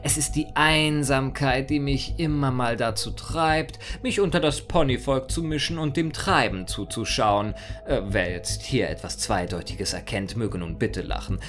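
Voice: medium pitch, a little slow, few pauses, a bit bright, faint music behind, natural voice. A performer voicing an immortal, mischievous chaos creature in a theatrical fan audio monologue, mock-formal, sardonic and playfully rambling. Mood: musing, wry. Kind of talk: theatrical first-person character monologue in a fan audio story.

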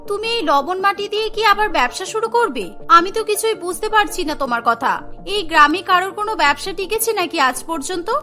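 A woman's voice: very high voice, expressive, a little fast, bright, forceful tone, faint music behind, full character voice. A woman voicing a worried, disapproving young village wife in a cartoon, scolding and sceptical.

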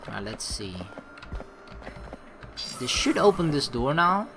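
Low-voiced woman playing a game. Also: very animated, slow pace, bright, forceful tone. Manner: chatty asides, swears casually. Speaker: let's play streamer. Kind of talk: reading a visual-novel game aloud with casual commentary. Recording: game music behind.

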